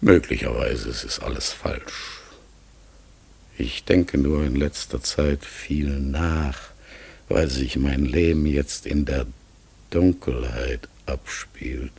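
An actor in a German radio play voicing a mysterious, pensive talking raven, husky and gravelly, speaking formally and haltingly with many self-corrections. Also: male voice, rumbling low, darkish tone, exaggerated voice. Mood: musing, uncertain.

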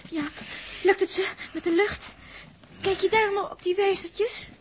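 A woman's voice: extremely high pitch, fairly even intonation, measured pace. An actress playing an anxious, fretful young woman pleading for news in a 1960s radio drama. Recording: old radio recording, faint noise.

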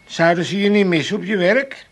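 A man's voice: high-pitched, brisk. An actor playing a meddlesome, grumbling older father and landlord in a blustery, colloquial comic radio play style. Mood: nagging. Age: middle-aged or older.

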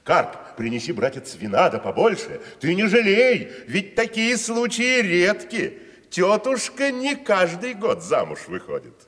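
A grandiose tragic actor. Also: male voice, sits high, huge pitch swings, unhurried, a bit bright, exaggerated voice. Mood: mock-festive. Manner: theatrical, declamatory, mock-solemn. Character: grandiose wandering tragic actor, man, middle-aged. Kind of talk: 1970s studio radio play. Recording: reverberant studio.

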